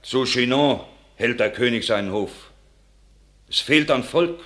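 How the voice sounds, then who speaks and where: A man's voice: mid-range voice, unhurried, fairly bright tone, close to an everyday voice. An actor playing an earnest country man who recounts grim war news with dramatic force in classic radio drama verse. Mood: alarmed.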